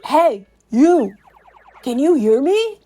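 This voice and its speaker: A woman's voice: high-pitched, very animated, takes it slowly, mellow, heavily acted. A woman voicing a cocky spirit trapped in a treasure chest from a video game, talking in casual, slangy swagger over music. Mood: cocky, eager. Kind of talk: dramatic video game read-aloud. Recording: music behind the voice.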